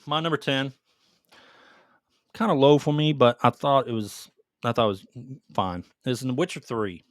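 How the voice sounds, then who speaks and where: A man's voice: medium pitch, normal speed, dry recording, studio quality. A man co-hosting a gaming podcast, talking informally with banter and some swearing. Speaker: podcast co-host. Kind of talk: casual gaming podcast chat, countdown.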